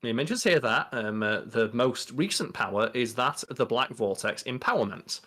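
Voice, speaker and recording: A man's voice: medium pitch, expressive, normal speed, a bit bright. Casual young man co-hosting a podcast. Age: young adult. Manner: sweary, informal. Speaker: podcast co-host. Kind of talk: casual podcast chat, reading out wiki entries. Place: small bedroom.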